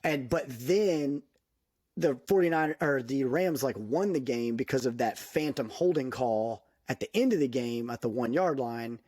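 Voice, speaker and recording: A man giving his opinions in a casual podcast chat, animated, laughing often and saying "dude" a lot. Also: mid-range voice, a little slow, no room sound, clean studio sound. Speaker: podcast guest.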